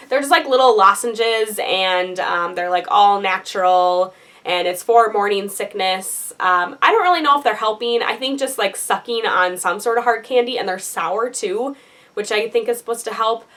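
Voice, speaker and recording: A woman's voice: on the high side, fairly bright tone. A young woman giving a casual vlog update, chatty and full of fillers, her nose a little congested. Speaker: vlogger.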